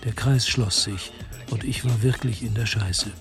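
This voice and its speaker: An actor playing a weary, dogged French detective who narrates his noir radio crime story in a measured, laconic way. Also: lowish voice, steady tone, over music, natural voice. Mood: weary, reflective. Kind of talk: first-person narration in a noir radio drama.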